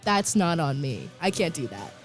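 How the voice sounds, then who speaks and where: Hoarse college girl hosting a radio show, chatty and slangy, music playing softly behind. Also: low voice, very animated, normal speed. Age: young adult. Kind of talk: casual chat.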